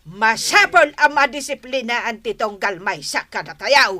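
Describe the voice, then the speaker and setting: A woman's voice: fairly high pitch, expressive, a little slow, sharp tone. A woman playing a strict, scolding mother in an Ilocano radio drama, exclaiming and admonishing, with an Ilocano Filipino accent. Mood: moralizing. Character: scolding, disciplinarian mother and wife.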